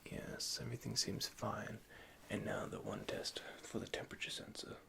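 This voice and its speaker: A man playing a nervous, caring android repair technician in an ASMR roleplay, speaking in a soft, breathy half-whisper with hesitant stammers. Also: lowish voice, level tone, moderate pace. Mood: apologetic, fussing.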